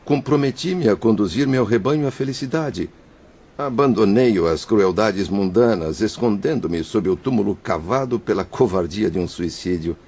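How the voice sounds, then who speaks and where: Guy doing a guilty ghost dad, really low husky voice, quiet music behind. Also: animated, moderate pace, lo-fi sound. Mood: remorseful. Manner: contrite, solemn confession. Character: remorseful male suicide spirit, husband and father. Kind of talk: audio drama acting.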